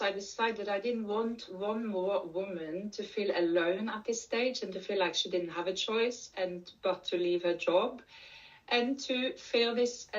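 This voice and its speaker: A woman's voice: mid-range voice, recorded from a distance, highs cut off. A middle-aged woman from Norway with a Norwegian accent, giving an earnest conference talk as a coach and repeating key points for emphasis. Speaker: menopause coach and speaker. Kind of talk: conference presentation.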